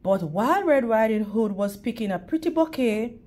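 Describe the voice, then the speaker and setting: A woman's voice: a little high, animated, normal speed, dark tone. A woman reading a children's story aloud in a teacherly way, addressing young listeners directly. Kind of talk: animated storytime narration with questions to children.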